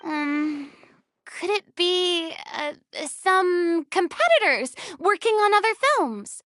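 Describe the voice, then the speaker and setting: A woman voicing a bossy, excitable young film director in a video game, with a theatrical, commanding delivery. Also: very high voice, slow pace, a little hiss or hum, exaggerated voice. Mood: thoughtful.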